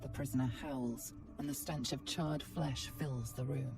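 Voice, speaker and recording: Grave female game narrator: very low, unhurried, ominous storytelling over music. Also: steady tone, distant mic. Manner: measured, ominous. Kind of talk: scripted dramatic game narration.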